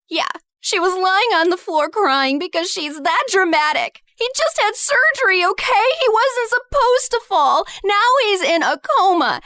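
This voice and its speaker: A woman's voice: extremely high pitch, sharp tone, no echo, clean studio sound, heavily acted. A woman voicing a bitter, spiteful young woman who sneers and accuses with cruel contempt in a dramatized story. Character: bitter spiteful young woman, resentful former best friend.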